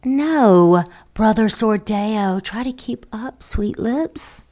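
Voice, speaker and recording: A woman playing a seductive female dark angel who teases and mocks in an audio drama. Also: fairly high pitch, lively intonation, slow pace. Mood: teasing. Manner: teasing, sultry, mocking.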